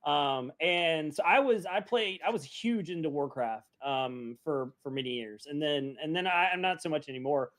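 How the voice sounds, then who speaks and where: A middle-aged man hosting a casual podcast, chatting in an animated way and often interrupting himself to restart. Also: on the high side, moderate pace, few pauses. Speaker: podcast host.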